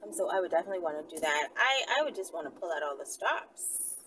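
A woman's voice: lowish voice, animated, quick pace, pushed and bright. Woman vlogging, slightly distant amid faint noise. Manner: musing aloud, rambling, rhetorical questions. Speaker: vlogger, channel host. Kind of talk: casual unscripted vlog chat to viewers. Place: inside a car by a street, daytime. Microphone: camera at arm's length. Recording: distant mic, noisy car interior.

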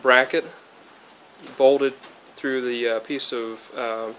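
A man in his twenties talks through a backyard build with an even, flat delivery at a middle pitch. He loses his thread often, filling gaps with "uh" and correcting himself. Faint crickets and insects hum behind the close camera mic. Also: takes it slowly. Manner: rambling, many fillers and restarts, flat delivery. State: a bit sick and spacey. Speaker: DIY hobbyist. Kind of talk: casual how-to explanation. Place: outdoors by a car, then under a metal-roofed shed.

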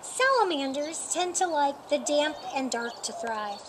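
A middle-aged woman reading a children's picture book aloud in a deliberate, expressive storytime manner. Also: high voice. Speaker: storytime reader. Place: outdoors in a sunny yard by a painted wall. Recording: outdoor noise with birdsong. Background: birdsong and outdoor ambience.

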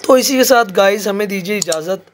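A man's voice: high-pitched, a little fast, slight background noise. A man, chatting casually for a vlog on a phone outdoors. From Pakistan. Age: 20s. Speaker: vlogger. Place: outdoors on city streets at night. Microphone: phone at arm's length. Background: music.

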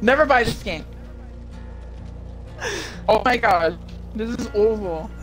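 Animated gamer guy, swings his pitch wildly. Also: slow, faint music behind, poor audio. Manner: animated, excitable, swears freely. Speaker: streamer. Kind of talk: casual gaming chat.

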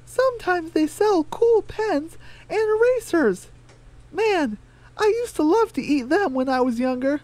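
A performer voicing a silly, scatterbrained cartoon chicken with a squeaky voice in a radio drama. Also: lively intonation, a little slow, a little mellow, poor audio. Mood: playful.

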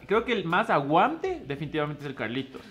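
A man in his twenties with an Ecuadorian accent, giving casual joking answers and often laughing or trailing off quietly. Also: on the high side, sing-song, fairly quick, heavy background noise. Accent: Ecuadorian Spanish. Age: 20s. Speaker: YouTuber co-host. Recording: faint background music.

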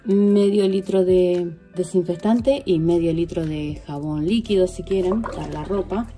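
A woman's voice: on the low side, measured pace, dark tone. Woman vlogger, 40s, chatty home demo, phone mic, music under her voice. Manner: chatty, addresses viewers directly, working while talking. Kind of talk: casual how-to demonstration. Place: home kitchen, indoors. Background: background music.

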